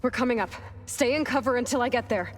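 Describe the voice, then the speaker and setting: A woman's voice: on the high side, fast, natural voice. An actress playing a determined young female warrior leader in a video game, speaking decisively in short, plain instructions. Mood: urgent. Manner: decisive, clipped orders. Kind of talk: video game cutscene acting.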